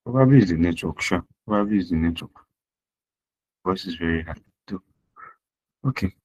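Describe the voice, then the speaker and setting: Low husky-voiced man lecturing online. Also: normal speed, darkish tone, lo-fi sound. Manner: repeats points and asks rhetorical questions. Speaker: accounting lecturer. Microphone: online class audio.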